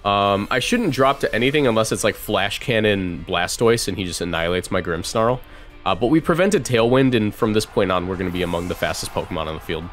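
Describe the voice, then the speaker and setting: A man's voice: fairly low pitch, animated, brisk. Chatty young male streamer over music. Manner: chatty, thinks out loud. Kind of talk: casual live game commentary.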